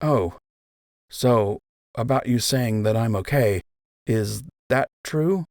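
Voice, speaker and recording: Man playing an earnest young rabbit soldier, raised pitch. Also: takes it slowly, darkish tone, no echo. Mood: uneasy. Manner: earnest, dry humour.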